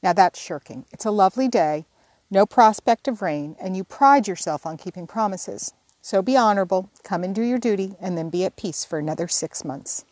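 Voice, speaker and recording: A woman's voice: mid-range voice, expressive, moderate pace, darkish tone, dry recording, natural voice. A woman reading an audiobook voices a fussy younger sister who coaxes and instructs in a slightly lifted voice. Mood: scolding.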